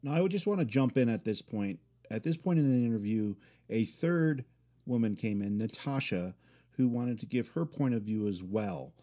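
A man's voice: mid-range voice, lively intonation, moderate pace, dark tone. A man, the show's host, stepping in with a voice-over aside that explains things to the listeners. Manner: explanatory, direct address.

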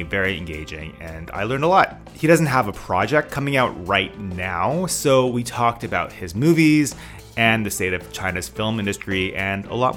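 A man's voice: fairly low pitch, a bit bright. A middle-aged man hosting a podcast, talking in an informal, conversational way. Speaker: podcast host, interviewer. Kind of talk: casual podcast introduction and chat.

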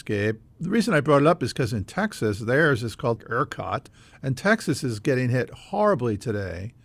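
An American man hosts a casual radio interview in a curious, conversational manner. His voice has a middling pitch with plenty of creak. The sound is close and dry, with very little room in it. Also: no echo. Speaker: host.

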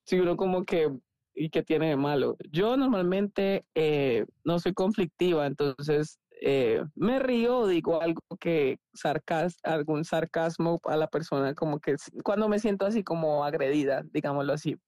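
A woman's voice: deep voice, normal speed, dark tone, no room sound. A woman in her thirties with a Colombian accent, chatting candidly and easily as a podcast guest. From Cali, Colombia. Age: 30s. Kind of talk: casual conversational interview.

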